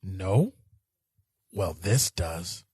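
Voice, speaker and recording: A man playing a terse, mellow-toned hard-boiled cop. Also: sits low, drawn-out words, slightly rough, heavily acted. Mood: stern, dismissive. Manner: terse, guarded, deadpan. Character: world-weary, secretive hard-boiled male police detective. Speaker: police detective. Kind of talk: hard-boiled sci-fi audio drama acting.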